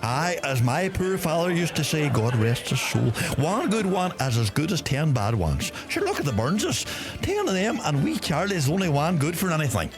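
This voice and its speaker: An actor playing a chatty, homespun, comically proud Irish pub regular in a radio play. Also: male voice, on the high side, very animated, moderate pace. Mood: folksy, chatty. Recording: music under the voice.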